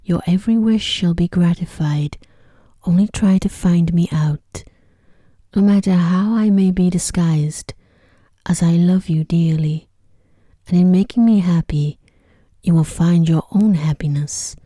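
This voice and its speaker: A woman voicing a tender, pleading young prince in a hushed, whispery ASMR storybook reading. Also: on the low side, measured pace, no echo, studio quality. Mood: tender, reassuring. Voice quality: soft, whispery. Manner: earnest, gentle, hushed.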